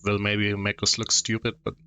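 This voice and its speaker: A man's voice: lowish voice, monotone, normal speed, bright, forceful tone, clear, resonant tone, soft background music. A man with a German accent streaming a game, casually commenting and thinking aloud with hums.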